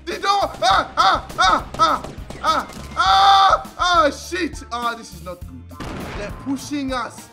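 Un homme qui prend une voix aiguë à l'accent ougandais factice, un joueur bruyant qui chantonne et provoque ses adversaires. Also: male voice, very high voice, lively intonation, slow pace, creaky, exaggerated voice. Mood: panicked. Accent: put-on Ugandan. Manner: sing-song, squealing, trash-talking. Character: high-voiced foreign-accented man, goofy and brash. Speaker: streamer playing a shooter game. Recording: loud game music behind voice. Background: game music.

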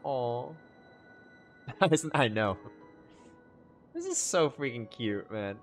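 A man's voice: mid-range voice, big pitch swings, slow pace. A young man gushing casually and slangily as he reacts to a game and chats with viewers. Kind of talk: casual livestream reaction commentary. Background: game music.

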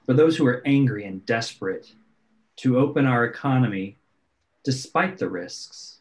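A man who is an interpreter, voicing prayers in a measured, solemn way over a video call. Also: mid-range voice, lively intonation. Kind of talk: reading prayers aloud. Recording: dull lo-fi video call audio.

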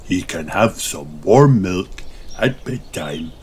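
A man's voice: sits low, lively intonation, takes it slowly, background noise, pitched below the performer's own voice. A man voicing a friendly bear doctor in a children's storybook. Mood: kindly.

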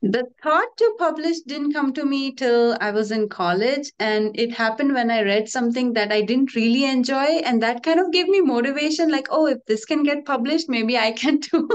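A woman's voice: sits high, moderate pace, hardly any pauses. A woman from Mumbai talking easily about her path to writing on a video-call author panel. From Mumbai, India. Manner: easy, storytelling, light laughs. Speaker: debut mystery novelist on a panel. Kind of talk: casual panel conversation. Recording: dull, muffled video-call audio.

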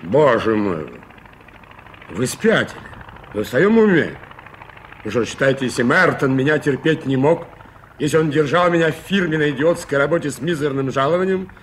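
A man playing a proud, clever, easily stung company employee in a radio play, speaking emphatically and excitably. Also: medium pitch, moderate pace, slight background noise, natural voice. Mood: shocked, indignant. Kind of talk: 1980s radio play acting.

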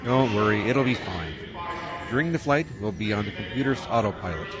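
An actor playing a practical, profit-minded spaceship captain, talking in a casual, matter-of-fact way. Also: male voice, on the low side, lively intonation, fairly quick, a crowd in the background, natural voice. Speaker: spaceship pilot and narrator of his own story. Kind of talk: radio drama acting. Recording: dialogue over music.

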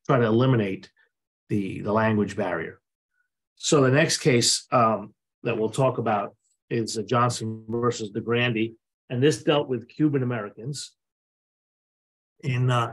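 A man's voice: fairly low pitch, breathy edge. Rambling man on a video call panel. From Dominican Republic. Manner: conversational, many fillers and restarts. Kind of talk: informal commentary in a panel.

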